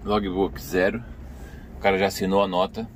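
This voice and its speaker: A man's voice: low-pitched, little pitch movement, normal speed, a little hiss or hum. A man from Brazil with a Brazilian Portuguese accent, a truck driver chatting and joking to his camera from inside his truck cab. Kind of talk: casual vlog narration. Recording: loud background music over voice.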